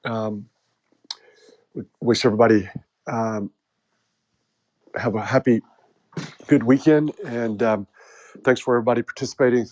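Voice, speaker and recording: A man's voice: mid-range voice, steady tone, moderate pace, long pauses, darkish tone. A man with an American accent hosting a casual group chat, rambling and joking with lots of fillers. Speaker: host.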